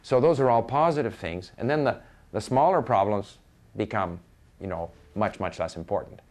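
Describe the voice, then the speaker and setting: Canadian man with very animated pitch. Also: normal speed. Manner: emphatic, repeats phrases for stress, quotes imagined speakers conversationally. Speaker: experienced language learner giving advice. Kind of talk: informal, opinionated talk on learning.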